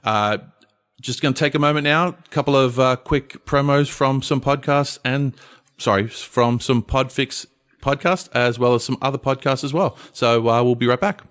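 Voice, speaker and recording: A man's voice: mid-range voice, moderate pace, a bit bright. Casual man co-hosting a podcast. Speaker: podcast co-host.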